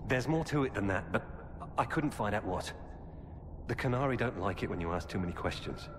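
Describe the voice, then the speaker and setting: An actor playing an earnest, disillusioned former knight in a video game, speaking gravely and urgently. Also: male voice, on the low side, expressive, brisk, background noise, natural voice. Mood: earnest, uneasy. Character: earnest, disillusioned male ex-Templar knight turned informant. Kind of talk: dramatic video game dialogue. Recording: cavernous reverb, loud game ambience behind.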